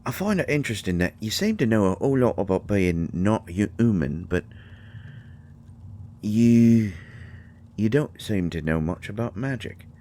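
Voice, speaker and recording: A man playing a shy, kindly mushroom fairy in a roleplay audio drama, speaking haltingly with folksy, ungrammatical phrasing. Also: fairly low pitch, lively intonation, warm tone, natural voice. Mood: awkward, curious. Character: shy, kindly male mushroom fairy living in magic library. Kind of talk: scripted roleplay audio drama addressed to the listener.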